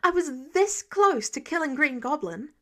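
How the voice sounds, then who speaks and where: Teen male superhero for audio story, played by a woman: high voice, emphatic, exasperated, sarcastic, hurt underneath. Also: sing-song, normal speed, pushed and bright, exaggerated voice. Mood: angry, desperate. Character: hot-headed, wounded teenage boy superhero, sarcastic.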